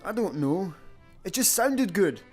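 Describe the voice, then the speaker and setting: An actor playing a literal-minded, deadpan artificial intelligence living in a kettle in a radio sitcom. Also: male voice, sits high, measured pace, faint music behind, full character voice. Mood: pedantic. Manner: deadpan, pedantic. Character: hapless, literal-minded, dryly pedantic AI in a kettle.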